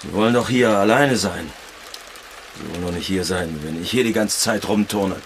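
A curt, guarded gamekeeper in a radio drama. His voice sits very low and his words are few and grudgingly deferential. Light outdoor rain ambience lies behind him. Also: moderate pace, slight background noise. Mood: guarded, awkward. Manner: terse, reserved.

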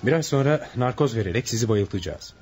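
A man's voice: mid-range voice, expressive, fast, darkish tone, breathy edge. An actor playing a courteous, composed male brain surgeon in a radio drama. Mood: calm, professional.

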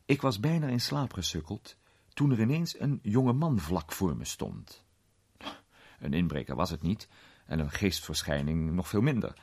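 A man playing a worldly film actor in a radio drama, recounting an unsettling memory in a conversational, sometimes hesitant, theatrical way with a husky voice. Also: low-pitched, lively intonation, moderate pace, darkish tone, exaggerated voice. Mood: suspenseful. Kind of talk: radio drama storytelling in character.

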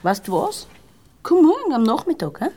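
Lockende Wiener Straßendirne, lebhafte Sprachmelodie. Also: female voice, high-pitched, very animated, normal speed, dark tone. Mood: coaxing, eager. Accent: Viennese. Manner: coaxing, colloquial dialect speech. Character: coaxing young Viennese street prostitute soliciting a soldier. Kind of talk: 1960s German-language radio play acting.

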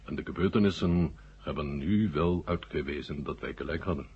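Senior Soviet marshal: very low, husky, urbane, slyly frank; vintage radio drama, muffled sound. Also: male voice, brisk, heavily acted. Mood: dryly frank. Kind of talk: 1970 Dutch radio drama acting. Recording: muffled old radio recording.

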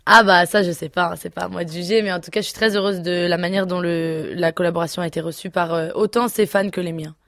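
A woman in her twenties, a singer answering interview questions casually, pausing often with fillers and restarts. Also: fairly low pitch, steady tone, a bit bright, dry recording. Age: 20s. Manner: hesitant, many fillers and restarts. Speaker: singer guest.

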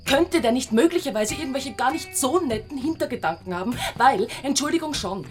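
A woman's voice: high-pitched, animated, a little fast, soft background music. An actress playing an exasperated, sarcastic girlfriend with an Austrian accent and an emphatic, scolding manner in a radio drama. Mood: angry, hurt.

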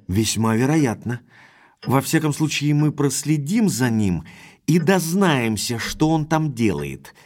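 Headstrong aristocratic baronet, mid-pitched, swooping intonation, decisive, emphatic, detective radio play. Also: male voice, big pitch swings, a little slow, darkish tone. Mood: decisive, eager. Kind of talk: audio drama acting.

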